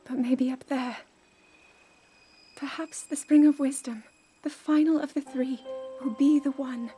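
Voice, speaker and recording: A young woman voicing an earnest young princess who is burdened by duty and doubts herself, speaking softly in a game cutscene. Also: high voice, fairly even intonation, unhurried, faint music behind. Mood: gentle. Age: young adult. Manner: soft, pleading, searching. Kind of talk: video game cutscene voice acting.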